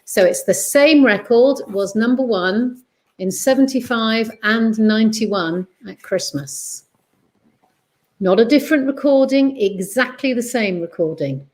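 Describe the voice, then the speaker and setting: A woman hosts a homely online quiz, her voice sitting a little high. She reads each question deliberately, repeats it, then drifts into easy chat. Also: a little mellow. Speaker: quiz host. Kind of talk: casual online quiz reading.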